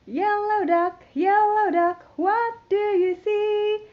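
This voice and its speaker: A woman hosting a children's storytime, reading a picture book in a playful, expressive sing-song way for very young children. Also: very high voice, slow pace, lo-fi sound. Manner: imitates animal sounds.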